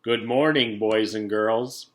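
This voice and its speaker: A pompous school principal from a children's storybook, acted out by a man reading aloud. He declaims with heavy emphasis, louder and a little higher, full of bluster and self-importance. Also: fairly even intonation, slow pace. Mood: self-important.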